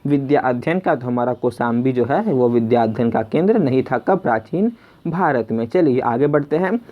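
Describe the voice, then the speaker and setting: Muffled lo-fi audio, male quiz teacher, middle-pitched voice, conversational, repetitive question-and-answer delivery. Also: expressive, normal speed. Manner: conversational, repeats each question before answering, addresses viewers as friends. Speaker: quiz teacher and video host. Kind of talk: spoken exam quiz lesson, reading questions and giving answers. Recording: dull lo-fi voice recording.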